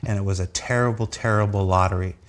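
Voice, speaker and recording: Wry, low-voiced man hosting a panel. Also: fairly even intonation, fast. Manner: rambling, self-deprecating, wry storyteller. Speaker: host of a developer panel show. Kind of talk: casual chat reading viewer questions.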